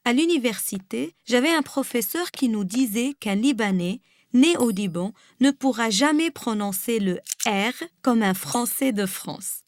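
A woman's voice: a little high, lively intonation, a little slow, dry recording, clean studio sound. Lebanese woman with a rolled R. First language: Arabic. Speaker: guest reader. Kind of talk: reading a personal essay aloud.

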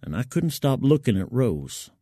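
A man's voice: medium pitch, big pitch swings, normal speed, dark tone, dry recording, studio quality. A man narrating a first-person story in a colloquial, wry, folksy manner. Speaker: fiction narrator.

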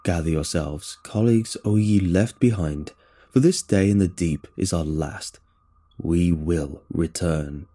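A man voicing a roaring alien loudspeaker voice. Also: sits low, unhurried, breathy edge, voice pushed down. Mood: zealous proclamation. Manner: crackling, roaring, eager proclamations. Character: alien machine voice rallying its lost colleagues. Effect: blaring over scattered loudspeakers.

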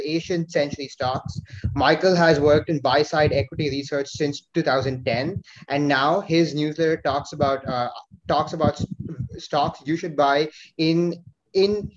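Slightly high-voiced man hosting a podcast. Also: a little hiss or hum. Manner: stumbling over words, fillers. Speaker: podcast host. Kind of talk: podcast interview questions. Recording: dull lo-fi audio.

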